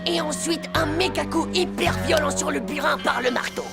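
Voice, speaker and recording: Hot-headed cartoon schoolboy, heavily gravelly, high voice, brash, boastful, bossy. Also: female voice, moderate pace, loud music behind the voice. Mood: excited storytelling. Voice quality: gravelly, creaky. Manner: brash, slangy, grandiose delivery. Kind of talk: French animated cartoon voice acting.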